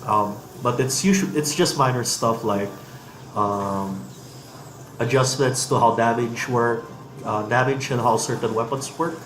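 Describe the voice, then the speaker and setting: Male dev with a head cold, mid pitch, hesitant gameplay commentary, echoey room with faint hum. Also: unhurried, fairly bright tone. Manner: hesitant, many ums and restarts. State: has a cold. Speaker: game developer demoing gameplay. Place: office.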